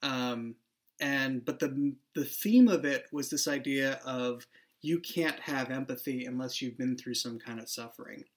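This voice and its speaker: A man's voice: mid-range voice, measured pace. Reflective man explaining his writing. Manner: reflective, thinks aloud with restarts. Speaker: writer and show creator. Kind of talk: casual behind-the-scenes chat.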